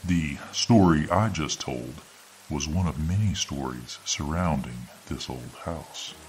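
A man narrating a scripted horror story in ASMR style, with an ominous, drawn-out delivery. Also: extremely low pitch, darkish tone, rough recording. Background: background music.

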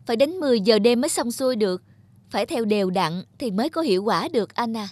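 A woman playing a busy, vain young wife and mother in an animated drama dub. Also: on the high side, quick pace, husky, no echo. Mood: self-justifying.

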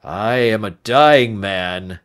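A broken, dying old man pours out his confession, slow and heavy with remorse, as a man reads him aloud. The voice sits low and earnest, each sentence weighted as if it costs him effort. It is an audiobook character. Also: smooth. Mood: grave. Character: aging male rancher, dying and remorseful.

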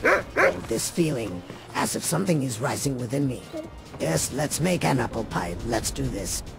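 A man voicing a gentle, polite and earnest troll in a fantasy video game. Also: medium pitch, sing-song, moderate pace, vocal fry, over music. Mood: awed, keen. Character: gentle troll who loves cooking, newly well-spoken. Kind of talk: fantasy game character acting.

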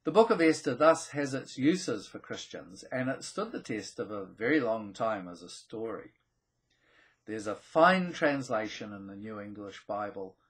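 A man presents a scripted explainer to camera, with deliberate, emphatic phrasing, dramatic pauses and a slightly husky voice. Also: on the low side. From New Zealand. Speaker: presenter of a theology video channel.

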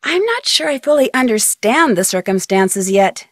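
A young female sleuth from a mystery video game, curious and polite. Her voice sits on the high side and moves with animated intonation. The sound is dry and close. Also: normal speed, no echo. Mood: curious, probing. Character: inquisitive, polite young female amateur detective.

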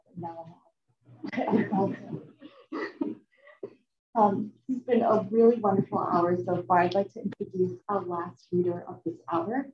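A woman hosting a poetry event, introducing the next reader. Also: medium pitch. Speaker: event host. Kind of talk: warm introduction. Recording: muffled echoey room with noise.

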